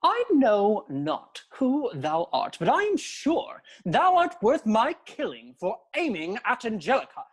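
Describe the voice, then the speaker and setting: A woman's voice: on the high side, wildly swooping pitch, unhurried, hardly any pauses, breathy edge, heavily acted. A woman playing an ardent, hot-tempered young nobleman in a period comedy, speaking in grand archaic phrases. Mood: haughty, threatening. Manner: grand, declamatory, archaic speech. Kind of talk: period stage comedy audio drama.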